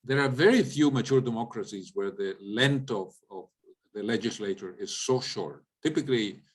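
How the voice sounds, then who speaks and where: A man's voice: fairly low pitch, normal speed, husky. A man from Latin America, an economist giving an informal explanatory lecture and often checking in with listeners. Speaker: economist, guest speaker. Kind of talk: informal lecture with audience questions.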